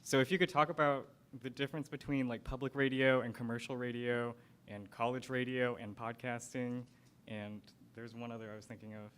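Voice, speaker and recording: A man's voice: fairly even intonation, fairly quick, a bit bright. A young man moderating a panel, asking questions in a hesitant, searching way. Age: young adult. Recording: rumbly background noise.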